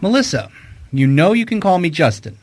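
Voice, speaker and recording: An actor playing a wisecracking, informal private detective in a radio mystery. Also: male voice, high voice, very animated, moderate pace, faint music behind. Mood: grumbling. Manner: wry, informal. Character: wisecracking private detective, uneasy among high society. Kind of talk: hard-boiled detective radio drama.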